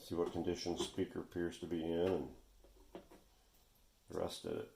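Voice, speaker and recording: A man's voice: low-pitched, monotone, moderate pace, vocal fry, warm tone. A man casually talking viewers through a hands-on workshop demonstration, unscripted and half muttering to himself as he works. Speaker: vintage radio repair video host. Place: indoor workshop. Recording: echoey room, faint background noise, clicks.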